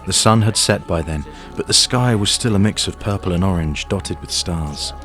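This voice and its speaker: A man's voice: deep voice, moderate pace, fairly bright tone. A man narrating a scripted fantasy audio drama as its storyteller. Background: music.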